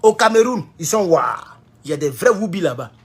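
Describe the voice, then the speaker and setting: A man with an Ivorian French accent giving a heated political commentary, jumping from loud high outbursts to low mutters. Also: animated, brisk, husky. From Ivory Coast. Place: at home in California.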